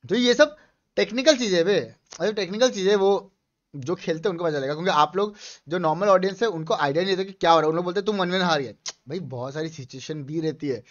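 Male streamer, twenties, high-pitched, casual explanatory game chat, slightly dull headset recording. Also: quick pace. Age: 20s. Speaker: gaming streamer. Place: small room indoors.

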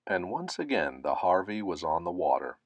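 A man reading a children's picture book aloud, phrasing it deliberately and stressing key words. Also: deep voice, sing-song, dry recording. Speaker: storybook reader.

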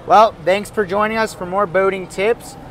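Bright, higher-pitched male host. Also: steady tone, moderate pace, slight background noise, rough recording. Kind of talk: casual video presenting. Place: outdoors at a marina dock. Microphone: clip-on lapel mic.

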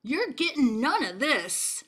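An indignant, stingy man of the Wild West, acted out by a woman reading a storybook. He scolds emphatically, his voice pushed high and bright. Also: sing-song, slow pace, heavily acted. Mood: defiant. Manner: scolding, emphatic, colorful Western insults.

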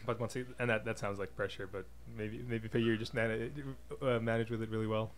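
Man, podcast host, rapid casual talk, mid-pitched voice, ambient noise in the background. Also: little pitch movement. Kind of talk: casual interview chat. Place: podcast booth inside a diner.

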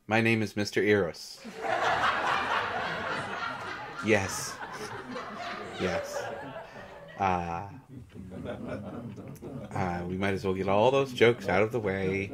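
A man playing a shy, gentle substitute teacher, halting and nervous, in an intimate audio roleplay lecture. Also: deep voice, fairly even intonation, over a noisy crowd. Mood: nervous, apologetic. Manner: hesitant, nervous, halting with soft chuckles. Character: shy, gentle male Greek god posing as substitute teacher.

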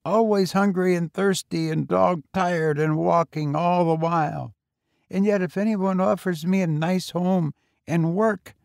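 A man voicing a frail, talkative, weary homeless boy of eighteen with a husky, colloquial, insistent way of talking in a story. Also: high-pitched, no echo, clean studio sound, pitched above the performer's own voice, heavily acted.

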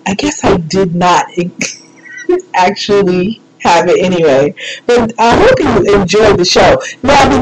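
A woman's voice: medium pitch, low-quality recording. A woman hosting a solo show, chatting in a casual, rambling, folksy and informal way. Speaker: radio show host. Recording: loud music behind the voice. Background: loud background music.

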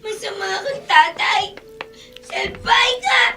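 A woman's voice: extremely high pitch, takes it slowly, bright, forceful tone, roomy sound, distant mic, soft background music, full character voice. A woman voicing a hurt, angry small child in a Filipino radio drama. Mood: angry, crying out. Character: angry, hurt little child rejecting a killer father.

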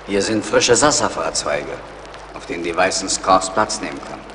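A man's voice: sits low, lively intonation, moderate pace. A man playing a grave, terse warrior and forest tracker in a German audio drama. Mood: solemn. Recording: reverberant, loud background noise.

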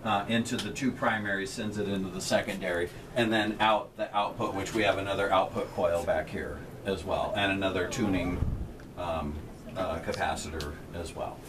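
A man's voice: low voice, steady tone, moderate pace, background noise. Middle-aged male tech, plain-spoken, explaining machinery on a tour; distant camera mic, humming room. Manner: plain-spoken, technical, gestures at the equipment. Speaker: transmitter technician. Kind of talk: explaining equipment on a guided tour. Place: inside a metal equipment shelter, small group standing close. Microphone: camera held by a visitor a few feet away.

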